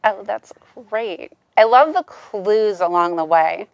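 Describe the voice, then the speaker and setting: A woman's voice: mid-range voice, takes it slowly, creaky, clear, resonant tone, dry recording, highs cut off. A woman interviewing an author, chatting casually and encouragingly. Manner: enthusiastic, encouraging.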